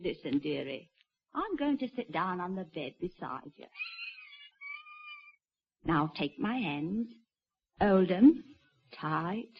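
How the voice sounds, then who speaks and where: An actress playing a motherly prison wardress in an old radio drama, coaxing, folksy and firm, with a husky voice. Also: fairly low pitch, very animated, slow pace, pauses often, heavily acted. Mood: soothing. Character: motherly, plain-spoken prison wardress, soothing but firm. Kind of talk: old-time radio acting. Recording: dull old radio broadcast, faint music.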